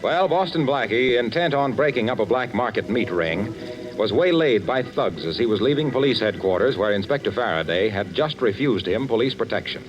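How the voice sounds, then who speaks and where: A man's voice: medium pitch, expressive, brisk, over music. A man announcing in scripted 1940s radio style, with a folksy salesman's patter. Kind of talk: 1940s scripted sponsor narration. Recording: dull vintage radio recording.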